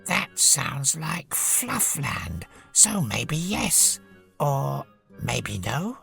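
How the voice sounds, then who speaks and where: A storybook narrator voicing a gruff little woolly toy creature from a magic land, plain-spoken and creaky. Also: medium pitch, very animated, extremely slow, sharp tone, faint music behind, full character voice. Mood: calm, matter-of-fact.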